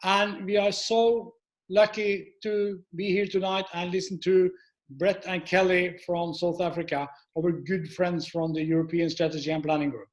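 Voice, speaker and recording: A man with a notably high voice speaks up on a video call. He introduces the evening's speakers with upbeat eagerness. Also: little pitch movement, moderate pace. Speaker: event committee member.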